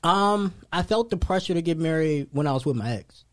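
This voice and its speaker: Slangy man in his thirties, slightly high. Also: a little fast. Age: 30s. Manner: loose and slangy, animated. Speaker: podcast host. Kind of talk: casual podcast chat.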